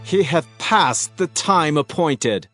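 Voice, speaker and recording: Guy playing scornful bible-drama proclaimer, really slow and declamatory, music behind. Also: on the high side, animated. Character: scornful man proclaiming a fallen king's weakness.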